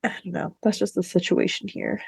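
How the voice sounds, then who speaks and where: A woman's voice: lowish voice, fairly even intonation, a little fast, gravelly, slightly rough, dry recording. A woman co-hosting a podcast, chatting casually about books in a playful, emphatic way. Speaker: podcast co-host discussing books. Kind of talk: casual book chat.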